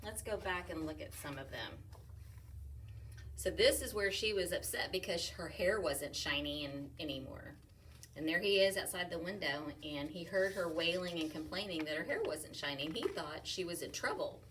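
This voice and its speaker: A woman teacher talks her class through a picture book in a slow, playful and expressive way, sounding out rhyming words. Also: on the low side, distant mic, a little hiss or hum, poor audio. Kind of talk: read-aloud story discussion for young children.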